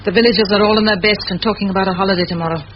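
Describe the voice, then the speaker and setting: A determined middle-aged schoolmistress acted by a woman, brisk, crisp and dryly witty. She speaks in 1940s radio drama style. The recording is old and muffled, with strong noise behind her. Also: mid-range voice, fairly even intonation, natural voice. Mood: anxious, probing. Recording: dull, noisy vintage radio recording.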